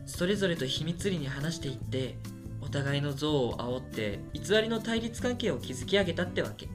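Man playing a shy student with lively pitch. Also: normal speed, over music, lightly acted. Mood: deadpan. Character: shy lonely male university student, soft-spoken, quietly scheming. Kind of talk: radio drama dialogue and first-person narration.

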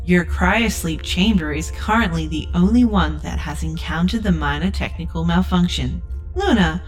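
A woman acts out a customer-service-obsessed female AI aboard a budget spaceship. Her voice sits a little low and stays polished, cheerful and scripted, like a saleswoman's. It is a sci-fi audio drama with music behind her. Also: moderate pace, close to an everyday voice, rough recording. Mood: politely scripted.

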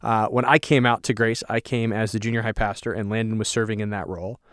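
A man's voice: fairly low pitch, level tone, quick pace, smooth, no room sound, studio quality. A man, a pastor hosting a podcast, asking friendly, joking questions of his guests. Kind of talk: podcast interview.

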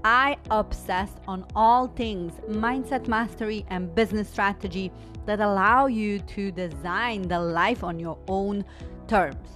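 A woman's voice: mid-range voice, measured pace, fairly bright tone, faint music behind. A woman hosts a podcast on coaching and business. She talks effusively and leans on her words for emphasis. Her delivery suits both introducing a show and interviewing a guest.

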